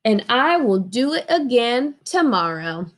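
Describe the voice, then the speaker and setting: A woman reading a children's storybook aloud in an expressive way, asking her young listeners questions. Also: fairly high pitch, a little slow. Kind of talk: children's storybook read-aloud with sing-along.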